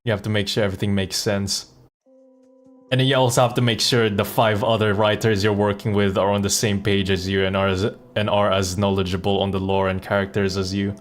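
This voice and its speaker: Low-pitched man rambling casually on a game stream. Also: level tone, fairly quick. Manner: rambling, thinking aloud. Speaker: live streamer. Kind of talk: casual chat while playing a game.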